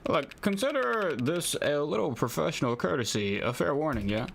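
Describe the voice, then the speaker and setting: A man's voice: a little high, sing-song, moderate pace, creaky, a little hiss or hum. A man voicing a brusque, condescending police officer in a detective game, with a casual, sardonic delivery. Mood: sardonic warning.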